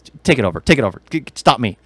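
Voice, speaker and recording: A man's voice: medium pitch, sing-song, rapid-fire, fairly bright tone, no echo. A man co-hosting a podcast in casual chat, rambling with enthusiasm and strong opinions. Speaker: podcast co-host. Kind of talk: casual chat about video games and film.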